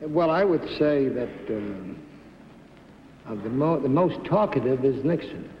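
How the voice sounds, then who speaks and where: A man's voice: medium pitch, lively intonation, a little slow, muffled recording. A man answering questions as a game show contestant on old television. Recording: distant, very noisy, echoey 1950s TV recording.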